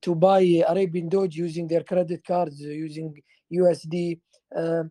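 A man with a high, mellow voice answers questions in halting fashion, stumbling over restarts and fillers. The audio is close and dry. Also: level tone, no room sound. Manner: hesitant, halting, many fillers. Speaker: crypto project team member answering questions. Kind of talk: casual Q&A answers.

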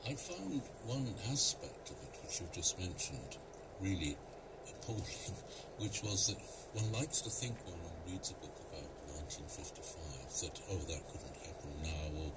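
A man's voice: low-pitched, lively intonation, normal speed, few pauses, far from the mic, very noisy. A man speaking thoughtfully about a book in a radio discussion, heard through a car radio.

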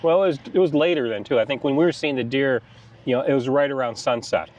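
A man's voice: mid-range voice, fast, darkish tone, a little hiss or hum. A middle-aged man with an American accent talks in a casual, conversational way. He sounds like a travel vlog host recounting a trip outdoors, with a slightly dull recording. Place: outdoors in open air beside a car.

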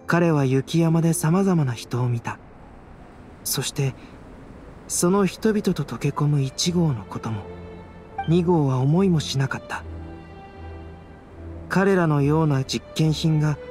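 A man playing a calm, cerebral young alchemist in a Japanese anime game, speaking in a measured, soft-spoken, enigmatic way. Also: a little high. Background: background music.

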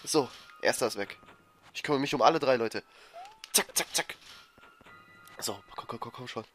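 A man with a cold giving excitable, frustrated commentary over a platform game he is playing. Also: medium pitch, normal speed. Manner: frustrated, excitable, swears and laughs. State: has a cold, coughing. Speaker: let's player. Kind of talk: gameplay commentary. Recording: faint game music behind. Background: game music.

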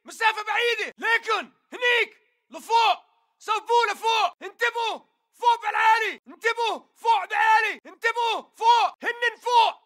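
An actor voicing a fierce, defiant militant fighter in a shooter game, with a Levantine Arabic accent and urgent, clipped callouts. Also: male voice, extremely high pitch, a little fast, a bit bright, shouting. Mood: urgent alarm. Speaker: enemy soldier voice in a shooter game. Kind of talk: combat battle chatter, shouted barks.

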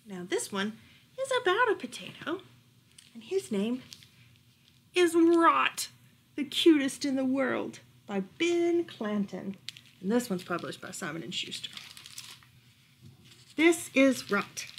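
A woman with a fairly high voice reads a bedtime story for children. Her pitch swings up and down in an animated storytelling style. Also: slow, gravelly, pauses often, a little hiss or hum. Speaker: librarian reading bedtime stories. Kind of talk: animated children's picture-book read-aloud. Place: furnished room at home, indoors.

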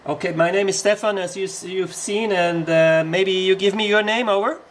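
A man's voice: high voice, fairly even intonation, normal speed, slight background noise. A man making a casual amateur radio contact, speaking politely and using radio procedure words.

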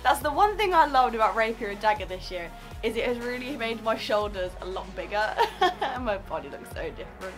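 Young woman chatting to her camera in her student flat, bit croaky, laughs a lot, music under it. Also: fairly high pitch, a little fast. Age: 20s. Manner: chatty and upbeat, laughs often. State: slightly croaky voice. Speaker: drama school student vlogger. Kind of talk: casual vlog chat. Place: small student flat bedroom and kitchen, indoors. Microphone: camera mic at arm's length.

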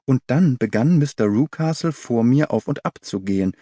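A man reads a literary story as a narrator, in measured, clearly articulated phrases. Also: mid-range voice, lively intonation, dark tone, no echo, studio quality. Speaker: audiobook narrator. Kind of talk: scripted literary narration, audiobook reading.

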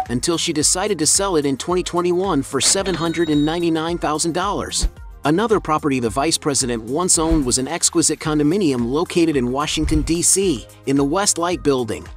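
A man reading a scripted voice-over narration in a steady, even way with no fillers. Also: on the high side. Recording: voice-over with background music.

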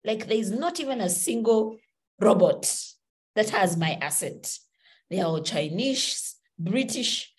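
A Kenyan woman in her thirties giving a motivational webinar talk over a video call from a room at home, animated and gesturing as she speaks. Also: mid-range voice, unhurried.

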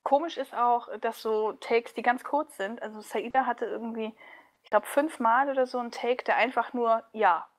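A woman speaking casually as an interview guest, chatty and animated, like a voice actress talking about her work. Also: a little high, normal speed.

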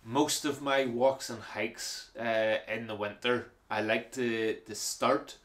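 A man's voice: mid-range voice, takes it slowly. A man casually walking through his winter hiking kit, explaining each item with plenty of fillers and repeated words. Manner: relaxed, practical, frequent fillers and repeated words. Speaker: hiking vlogger. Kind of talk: casual gear walkthrough.

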